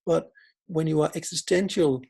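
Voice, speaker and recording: A man's voice: a little high, fairly even intonation, normal speed, vocal fry, a little mellow, breathy edge. A man with a Danish accent, a philosopher giving a reflective conference talk in English as a second language, often restarting and searching for words. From Denmark. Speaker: philosopher and researcher.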